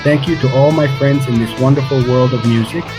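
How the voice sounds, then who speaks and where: A man's voice: medium pitch, steady tone, brisk, low-quality recording. A man from Venezuela giving an effusive, grateful, scripted channel intro. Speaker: channel host. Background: guitar music.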